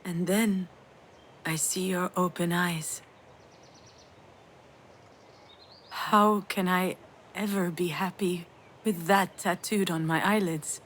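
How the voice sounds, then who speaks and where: A woman playing a sardonic, self-blaming yet tender mother in a dramatic video game, frank and emotionally expressive. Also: fairly low pitch, takes it slowly, slight background noise. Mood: guilt-ridden, sorrowful. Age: adult.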